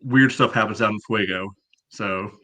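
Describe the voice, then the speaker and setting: A man's voice: on the low side, normal speed, sharp tone. A man giving blunt, matter-of-fact opinions as a panelist on a casual sports podcast.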